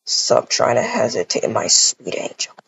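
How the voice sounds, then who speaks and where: A woman voicing a possessive, menacing male yandere captor in an amateur roleplay, talking softly and slowly in a husky whisper. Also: rumbling low, wildly swooping pitch, exaggerated voice. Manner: soft, slow, breathy whispering. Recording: dull lo-fi recording.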